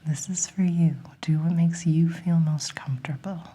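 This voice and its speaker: A woman whispering a guided relaxation in a gentle, soothing, breathy ASMR style, encouraging the listener. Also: low-pitched, fairly even intonation, normal speed.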